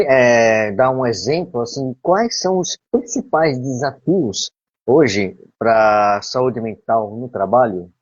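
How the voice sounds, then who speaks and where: A man's voice: medium pitch, slightly rough, dry recording. A man with a Brazilian Portuguese accent hosting a live conversation, agreeing politely and addressing his guest formally. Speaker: host interviewing the guest. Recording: dull lo-fi dry sound.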